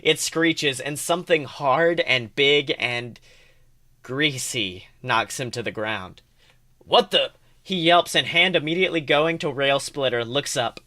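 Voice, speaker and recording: A man co-hosting a podcast, reading fan fiction aloud as an expressive narrator. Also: medium pitch, unhurried. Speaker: podcast co-host.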